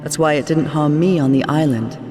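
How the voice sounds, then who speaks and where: An actress playing a loving, serene woman from beyond time in a story game, speaking intimately and with gentle, measured reassurance. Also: low voice, fairly even intonation, close to an everyday voice. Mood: gently explaining. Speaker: character and narrator. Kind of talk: cinematic game voice acting. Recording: long reverb, music underneath.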